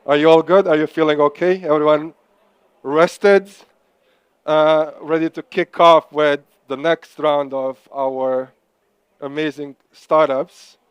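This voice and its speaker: A man with a Croatian accent hosting a live startup pitching event, upbeat and encouraging. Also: steady tone, takes it slowly, smooth, no room sound, low-quality recording. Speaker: host.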